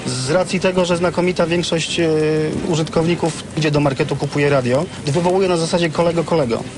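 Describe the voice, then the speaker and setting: A middle-aged man answering interview questions in an explanatory, opinionated, articulate way. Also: fairly high pitch. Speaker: veteran CB radio user, interviewee. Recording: clearly noisy, vehicle sounds behind.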